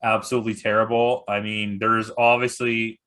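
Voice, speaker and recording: A man's voice: lowish voice, flat delivery, normal speed, sharp tone. A man chatting casually as a podcast guest and movie critic, blunt, with dry joking. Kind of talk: casual chat.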